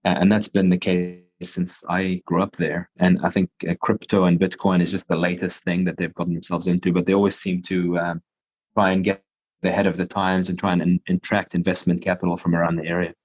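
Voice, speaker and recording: A young adult man from Dubai, a surgeon, answering podcast interview questions in a measured, explanatory way with frequent restarts. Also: deep voice, little pitch movement, a little mellow. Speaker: guest, surgeon. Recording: dull lo-fi sound.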